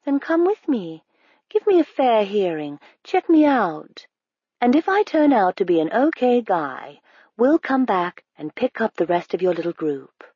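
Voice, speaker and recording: A woman's voice: mid-range voice, sing-song, measured pace, mellow, slightly rough, no echo, highs cut off. A woman reading the part of a grown male stranger in a storybook, talking in a coaxing, persuasive way.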